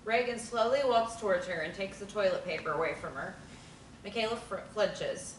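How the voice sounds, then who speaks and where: A woman reading stage directions aloud at a table reading, in a plain, even manner. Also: medium pitch, moderate pace. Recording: roomy, distant mic, faint noise.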